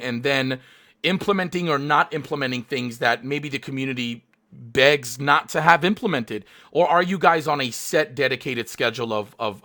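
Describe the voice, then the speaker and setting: A male streamer with a bright, forceful voice that sits at an ordinary pitch. He talks with hyped energy and keeps stumbling through fillers and restarts. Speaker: streamer and interviewer. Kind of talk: live stream interview.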